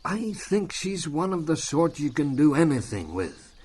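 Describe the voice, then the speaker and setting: An actor playing a gentle, folksy older bachelor farmer in a period drama, mild and hesitant in manner. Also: male voice, on the high side, very animated, a little slow, breathy edge, exaggerated voice. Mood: mild, coaxing. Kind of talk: audio drama acting.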